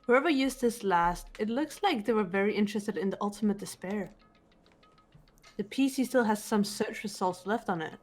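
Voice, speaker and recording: A woman voicing a cool, serious teenage girl detective in a visual novel game, measured and matter-of-fact. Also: medium pitch, lively intonation, faint music behind, natural voice. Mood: composed, serious. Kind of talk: visual novel character reading.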